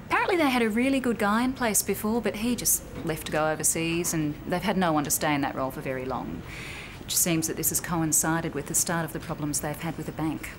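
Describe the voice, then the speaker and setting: Over clear background noise, an actress plays a composed female bank officer in a training drama. Her voice sits a little low, and she explains things in a measured way, firm yet sympathetic, pausing as she goes. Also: natural voice. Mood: explaining, serious. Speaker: actor in a corporate training drama. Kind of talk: naturalistic acted workplace dialogue. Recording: clearly noisy location sound with room ambience.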